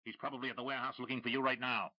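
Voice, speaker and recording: A man voicing a cold, ruthless male smuggler boss who speaks in a low, clipped, contemptuous way. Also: level tone, racing, sharp tone, lo-fi sound. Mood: cold menace.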